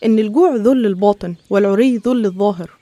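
A woman with an Egyptian accent narrating and explaining a scripted animated story. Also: mid-range voice, fast, dry recording.

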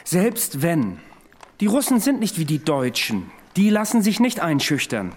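An actor playing a world-weary, sceptical military adviser, speaking in a blunt, sober way in a radio drama. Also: male voice, a little high, big pitch swings, measured pace, slight background noise. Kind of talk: radio drama acting and narration.

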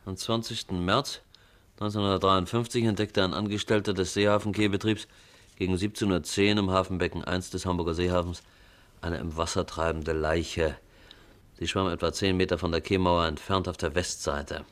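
Nonchalant reporter, deep voice, wry and flippant; vintage radio crime play. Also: male voice, flat delivery, moderate pace, a bit bright. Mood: bored. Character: flippant, easily bored male newspaper reporter. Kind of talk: 1950s German radio crime drama acting.

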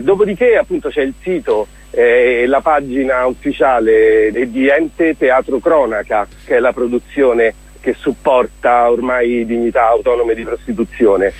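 A man being interviewed on the radio, talking with open enthusiasm and expression. His mid-pitched voice is a little husky and unpolished, and the sound is dry and close. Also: no echo, low-quality recording. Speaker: interview guest, theatre director. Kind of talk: radio interview, casual promotional talk.

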